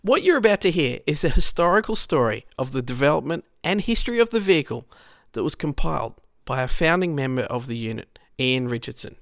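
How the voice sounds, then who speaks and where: A man's voice: on the high side, expressive, fairly quick, no echo, clean studio sound. An Australian man reading a scripted history in a storytelling way, speaking directly to the listener with conversational asides. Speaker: narrator, rescue unit member.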